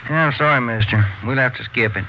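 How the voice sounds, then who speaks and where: A muffled vintage radio recording with faint hiss carries a man playing an easygoing detective's sidekick. His voice sits at a medium pitch. He sounds casual and folksy. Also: fast, lightly acted. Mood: coaxing. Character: easygoing male plainclothes police partner posing as buyer. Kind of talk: 1950s radio drama acting.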